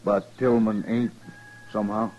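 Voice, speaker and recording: An actor playing an evasive, callous American rancher in a 1950s radio western, with folksy, halting, understated talk. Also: male voice, on the low side, level tone, darkish tone, low-quality recording. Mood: sheepish, explaining. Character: evasive, callous male rancher confessing to a lynching.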